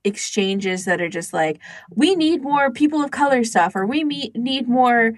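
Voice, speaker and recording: A woman's voice: fairly high pitch, lively intonation, unhurried, no echo. A woman co-hosting a podcast in casual chat, talking informally and peppering her sentences with "like". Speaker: podcast co-host.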